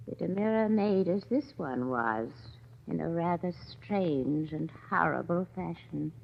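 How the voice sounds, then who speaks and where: An actress playing an ominous, deferential antique shop keeper, speaking in a measured, insinuating 1940s radio manner. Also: female voice, deep voice, gravelly, heavily acted. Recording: dull, hissy old radio recording.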